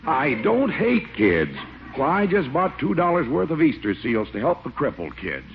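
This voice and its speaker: Sentimental police chief, earnest bluster, very swoopy delivery, vintage radio comedy, noisy old recording. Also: male voice, a little high, wildly swooping pitch, moderate pace, dull audio. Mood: defensive. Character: sentimental, easily swayed, dutiful small-town police chief. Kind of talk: 1940s radio sitcom acting.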